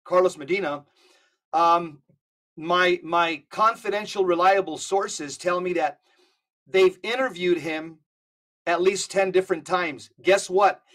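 Guy with a high voice, guest on a podcast, talks emphatic and animated. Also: unhurried. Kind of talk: casual conversational podcast.

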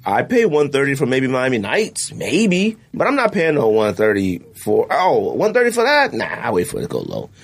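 A man hosting a casual podcast, animated, opinionated and full of slang. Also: mid-range voice, moderate pace, gravelly, few pauses. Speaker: podcast host. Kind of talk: casual chat.

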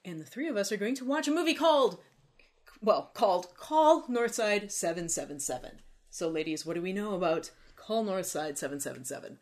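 A woman's voice: medium pitch, animated, fairly quick, a little mellow. A woman hosting a casual film podcast, chatty and playful. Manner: chatty and playful, hums tunes. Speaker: podcast host.

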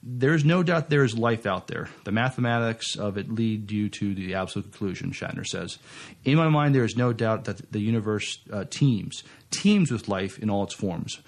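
A man co-hosting a podcast, reading out entertainment news and chatting, with a halting, stumbling delivery. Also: fairly low pitch, animated, creaky. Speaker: podcast co-host.

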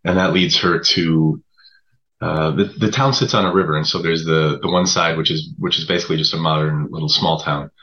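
Super deep guy voice, kinda forceful tone, hesitant interview chat, slightly dull lo-fi audio. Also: brisk. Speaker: guest writer of audio drama. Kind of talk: conversational interview answers.

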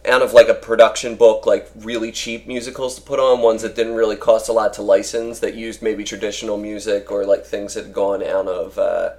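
A man with a deep voice talking in a rambling, casual podcast chat, swearing freely and filling gaps with "uh" and "like". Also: flat delivery, a little fast, hardly any pauses, a little mellow. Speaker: guest.

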